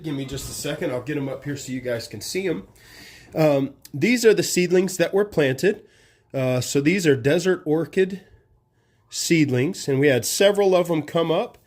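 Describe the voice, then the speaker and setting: A man hosting a gardening vlog, talking casually with many ums and uhs, a little stuffy from a cold, recorded on a phone on a tripod. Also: fairly high pitch. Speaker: gardening channel host. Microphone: phone camera on a tripod.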